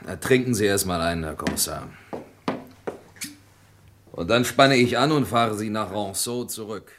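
A man playing a plain-spoken, curt young farmer in a radio crime drama, with a husky voice. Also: fairly low pitch, moderate pace, background noise, natural voice. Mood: plain, helpful.

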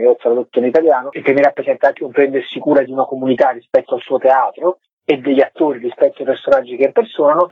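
A man being interviewed on the radio, explaining things conversationally and discursively, with a husky voice. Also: medium pitch. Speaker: interviewed film director. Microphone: telephone. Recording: tinny, narrow phone line with echo.

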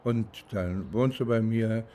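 A middle-aged man reflecting as a private detective in an unscripted-sounding monologue, searching for words in a deliberate, hesitant way. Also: on the low side, dark tone. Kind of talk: reflective documentary-style monologue.

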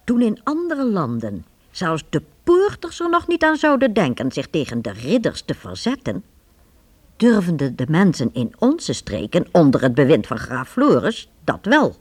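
A woman narrating a Dutch radio play in a measured, dramatic storytelling manner. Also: sits low, wildly swooping pitch, breathy edge, full character voice. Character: storybook narrator of a medieval adventure.

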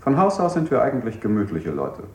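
An actor playing a falsely courteous, menacing interrogator in a stylized 1960s radio play. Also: male voice, medium pitch, huge pitch swings, fairly quick, darkish tone. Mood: sardonic menace. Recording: very echoey room with faint noise.

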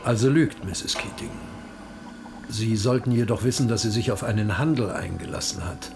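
An actor playing a sly, needling police interrogator in a radio crime drama, ironic and mock-casual. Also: male voice, fairly low pitch, normal speed, vocal fry, music in the background. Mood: sly, probing.

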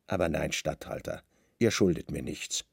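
A man's voice: extremely low pitch, huge pitch swings, normal speed, gravelly, husky, dry recording, studio quality, pitched below the performer's own voice, heavily acted. A man playing a stern Roman secret service commander in a radio play, coolly cunning, formal and deferential.

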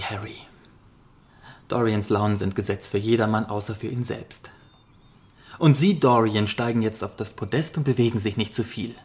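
An actor playing an earnest, devoted gentleman painter in a German radio play, courteous and somewhat pleading. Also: fairly low pitch, lightly acted.

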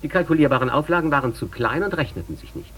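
An actor playing a confident lecturer, giving an articulate, formal and persuasive scripted speech. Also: male voice, a little high, lively intonation, fast, natural voice. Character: male lecturer confidently promoting computer progress.

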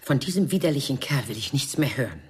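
Mature bourgeois madame for radio crime play: extremely low, husky, disdainful, sweeping dramatic intonation. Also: female voice, lively intonation, quick pace, full character voice. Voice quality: husky, breathy. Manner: haughty, theatrical, swooping between indignation and hushed tones. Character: haughty mature Parisian bourgeois madame, disdainful, betrayed wife.

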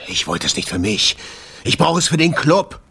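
An actor in a radio play, playing a desperate and passionate jazz club owner. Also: male voice, fairly high pitch, very animated, normal speed, sharp tone, slightly rough, heavily acted. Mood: accusing. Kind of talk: German radio play acting.

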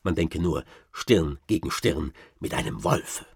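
An actor playing a boastful old-time baron, telling tall tales with ornate, dryly ironic theatrical expression in a radio play. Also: male voice, very deep voice, lively intonation, a little slow, gravelly, slightly rough, no echo, clean studio sound, heavily acted. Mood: wry, boastful. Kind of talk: theatrical first-person storytelling in a radio play.

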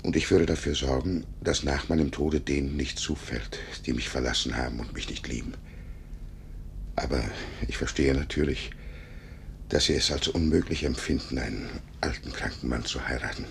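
An actor playing a lonely, self-pitying paralysed gentleman of seventy-two in a 1950s German radio drama, querulous and plaintive. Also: moderate pace, creaky. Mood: tender, earnest. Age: older. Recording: noisy, rumbling 1950s radio recording.

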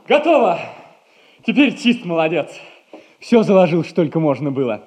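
An actor playing an eager, naive, honest young son in a theatrical audio comedy. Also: male voice, fairly high pitch, very animated, moderate pace, a little mellow, soft background music. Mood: agitated, relieved. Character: excitable, honest young man, poor widow's devoted son. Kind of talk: classic Russian stage comedy acting, audio play.

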